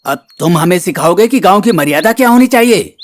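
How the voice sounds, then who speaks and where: A man's voice: sits high, animated, fairly quick, a little hiss or hum. A man voicing a stern, traditional village headman in a moral-story cartoon, speaking with authority. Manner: authoritative, admonishing.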